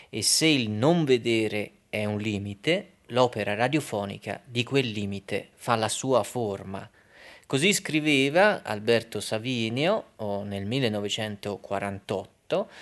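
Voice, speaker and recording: A man hosting a radio programme, introducing it in a measured, explanatory, slightly hesitant way. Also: medium pitch. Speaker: radio host.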